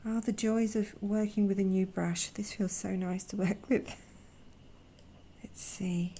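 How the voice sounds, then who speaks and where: A young woman with a croaky voice from a sore throat, gently hosting a casual art vlog where she swatches watercolours. She talks softly, often slips into a whisper, and hesitates with fillers. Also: mid-range voice, steady tone, slow, a little hiss or hum. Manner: soft-spoken, gentle, often drops to a whisper. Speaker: watercolour artist and channel host.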